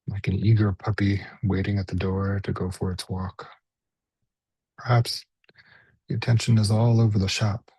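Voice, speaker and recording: A man guides a meditation in a mellow, lowish voice that is very husky and full of breath. He speaks gently in short phrases with unhurried pauses between them. The sound is close and dry. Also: flat delivery, no echo, rough recording. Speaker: mindfulness meditation teacher. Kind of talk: guided body scan meditation.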